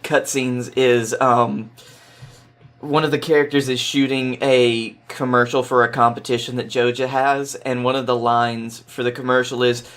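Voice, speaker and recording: A man's voice: mid-range voice, little pitch movement, moderate pace, fairly bright tone. A man as a podcast guest, chatting casually and explaining video games earnestly, thinking aloud as he goes.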